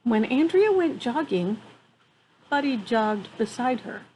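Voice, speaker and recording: A woman reads a children's picture book aloud at a slow pace, adding chatty exclamations. Her voice is fairly high and amused. She is outdoors, with faint ambient noise behind her. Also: very animated, a little mellow, low-quality recording. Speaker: children's storyteller. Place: outdoors on a wooden deck among trees.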